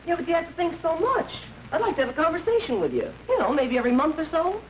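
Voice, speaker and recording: An actress with an American accent playing a detective's devoted, teasing wife in old-time radio drama style, wry and coaxing. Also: female voice, extremely high pitch, big pitch swings, fast talker. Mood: playful, nagging. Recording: dull, noisy old radio recording.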